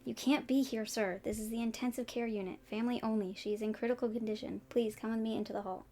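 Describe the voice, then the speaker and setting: A woman playing a firm, formal intensive care nurse in an audio drama, with a brisk, official manner. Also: on the high side, steady tone, warm tone, soft background music.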